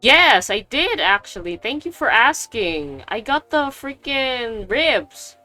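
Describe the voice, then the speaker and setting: A woman's voice: on the high side, very animated, slow pace, pushed and bright. A woman giving casual, chatty, slangy commentary as she plays a video game and reacts aloud. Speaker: game streamer.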